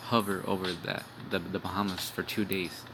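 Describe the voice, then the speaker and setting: Deep gravelly man preaching over music. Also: flat delivery, moderate pace. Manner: rambling, repeats "man" and "all right"; profane, blunt. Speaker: street preacher. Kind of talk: informal religious video sermon. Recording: music playing behind.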